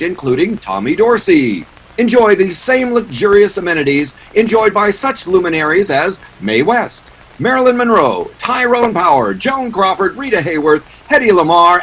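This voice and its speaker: A man's voice: sits high, very animated, normal speed, fairly bright tone, slightly rough, heavily acted, low-quality recording. A man playing a showy 1940s radio announcer, reading scripted introductions and advertising like a polished salesman. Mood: upbeat, selling.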